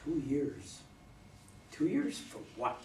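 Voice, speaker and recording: Man performing grieving drinker, stage drama, terse, anguished, widely swinging pitch, distant echoey recording. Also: very animated, slow, warm tone. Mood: bleak. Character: grieving, bitter widowed man, recovering drinker in a bar. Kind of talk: staged play reading. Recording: roomy, distant mic, faint noise.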